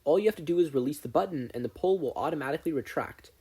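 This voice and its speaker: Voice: mid-range voice, animated, very fast, mellow, husky, pitched above the performer's own voice. A performer voicing a nervous but eager young teenage boy inventor in an audiobook-style story reading. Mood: earnest, explaining.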